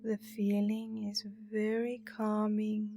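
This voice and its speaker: A woman narrating a guided meditation in a soothing, hushed manner with breathy phrase endings. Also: medium pitch, monotone, slow pace. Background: meditation music.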